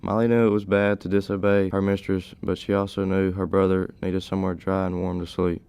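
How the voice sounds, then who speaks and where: A man's voice: low-pitched, flat delivery, a little fast, vocal fry, a little mellow, smooth. A teenage male reading scripted story narration.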